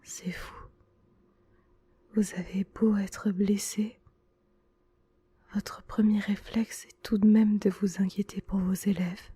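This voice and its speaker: A gentle male school nurse, played by a woman, soothes a patient in a very breathy ASMR whisper. The voice is slow and mellow, with an even, hushed melody and a reassuring, attentive manner. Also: medium pitch. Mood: gently reassuring. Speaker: ASMR roleplay performer. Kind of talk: whispered French ASMR roleplay.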